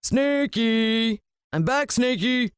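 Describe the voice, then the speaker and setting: Woman putting on a gloating, sing-song storybook villain, high-pitched man. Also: animated, slow pace, clean tone, no room sound, clean studio sound. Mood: gleeful, wheedling. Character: huge beastly greedy man, gleeful child-eating villain. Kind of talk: storybook character voice.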